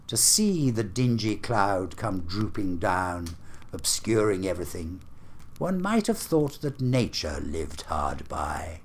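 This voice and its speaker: A man reading a literary story aloud as a storyteller, with theatrical, deliberate phrasing. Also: low voice, sing-song, a little hiss or hum.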